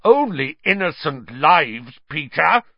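A bitter, vengeful man, acted by a male narrator, harsh and snarling. His accusations swing widely in pitch with forceful emphasis, in the heated manner of a storybook drama, on a close, dry recording. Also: sing-song, unhurried, gravelly, slightly rough, dry recording, lo-fi sound, heavily acted.

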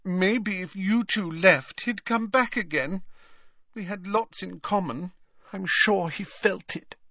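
A man's voice: sits high, lively intonation, measured pace, bright, forceful tone, dry recording, clean studio sound, voice pushed up, full character voice. A man voicing the glum, self-pitying ghost of a teenage girl in an audiobook, sulky and plaintive. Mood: plaintive, hopeful.

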